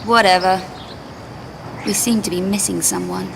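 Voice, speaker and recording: A woman's voice: mid-range voice, normal speed, background noise, natural voice. A woman voicing a confident, sardonic female adventurer in a video game, dry, taunting and unflappable. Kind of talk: video game cutscene voice acting. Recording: loud music under the voice. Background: game music.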